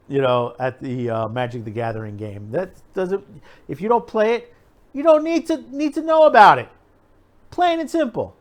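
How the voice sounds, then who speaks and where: A man hosting an opinion video, talking casually and emphatically to viewers in a rambling, repetitive way. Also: medium pitch. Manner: rambling, repeats himself, emphatic. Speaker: host of an opinion video channel.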